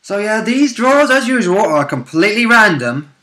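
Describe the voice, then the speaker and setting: A man's voice: sits high, very animated, normal speed, bright, forceful tone. A man chatting casually as a player and co-commentator, hedging his guesses in informal, sometimes sweary speech. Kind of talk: casual chat, speculative commentary.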